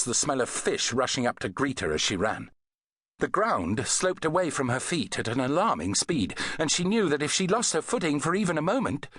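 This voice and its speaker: A man reading an adventure novel as an audiobook narrator, in an expressive, dramatic storytelling manner. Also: mid-range voice, breathy edge, dry recording, studio quality.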